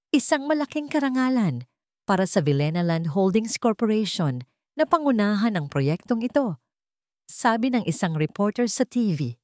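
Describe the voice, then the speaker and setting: A woman narrating a scripted drama story in a measured, dramatic storytelling manner. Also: fairly low pitch, a little mellow, no echo, studio quality.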